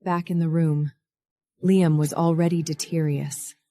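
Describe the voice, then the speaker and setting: A woman plays a cool, defiant heiress telling her own story of revenge. Her voice sits low and mellow, recorded dry and close, in measured dramatic narration. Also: fairly even intonation, no echo. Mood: measured, tense. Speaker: narrator and lead of an audio drama. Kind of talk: scripted first-person dramatic narration.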